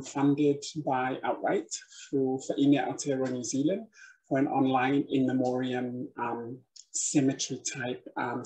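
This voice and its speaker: A woman's voice: very deep voice, a little mellow, rough recording. A middle-aged woman from Samoa with a Samoan accent, giving an earnest prepared talk as a panel speaker over a video call, often repeating words as she searches for them. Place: room with bookshelves indoors. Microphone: webcam on a video call.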